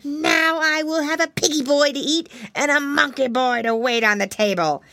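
A woman's voice: high voice, normal speed, sharp tone, voice pushed up. A woman voicing a bad, hungry male alligator who bullies and snaps in a children's storybook. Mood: gloating, menacing. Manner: gloating, snapping exclamations.

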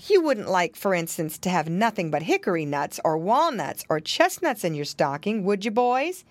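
A woman's voice: lowish voice, very animated, moderate pace, no echo. A woman voicing a busy, kindly mother pig who explains things patiently in a motherly way, for a storybook. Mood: explaining.

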